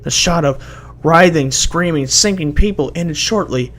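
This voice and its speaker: A man with a gravelly voice giving a halting, dramatic first-person reading of a horror story as an amateur narrator. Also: fairly high pitch, a bit bright. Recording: noisy amateur home recording.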